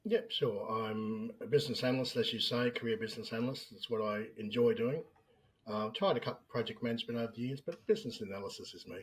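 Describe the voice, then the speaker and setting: A man's voice: mid-range voice, fast talker, rough recording. An Australian man in his fifties, a business analyst speaking as a podcast guest and explaining plainly with everyday examples. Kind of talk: podcast interview.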